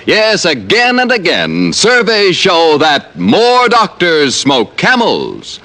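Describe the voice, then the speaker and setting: An American man announcing in vintage radio style. His voice is bright and somewhat high, swinging widely in pitch as he sells and reads credits. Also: huge pitch swings, takes it slowly. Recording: old radio recording, music behind.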